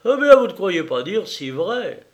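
A man's voice: high-pitched, big pitch swings, a little slow, pitched above the performer's own voice. A man voicing a feverish, sardonic young man who speaks vehemently at a dinner party in a storybook reading.

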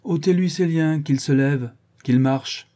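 Man playing a solemn commanding judge-like avenger, raised pitch. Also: measured pace, dark tone, natural voice.

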